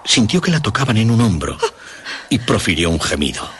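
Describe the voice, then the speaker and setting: A man narrating a scripted horror story in deliberate, suspenseful phrases. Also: lowish voice, very animated, fairly bright tone, a little hiss or hum. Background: music.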